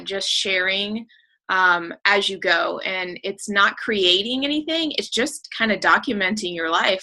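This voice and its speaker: A woman's voice: lowish voice, bright, forceful tone, low-quality recording. A woman hosting a casual team call as a coach, upbeat and encouraging, with plenty of fillers as she talks.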